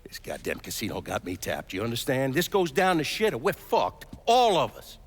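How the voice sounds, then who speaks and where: A volatile, foul-mouthed mob boss. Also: male voice, medium pitch, wildly swooping pitch, normal speed, slightly rough, full character voice. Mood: tense, pressured. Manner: blunt, profane, bossy. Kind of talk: video game cutscene acting.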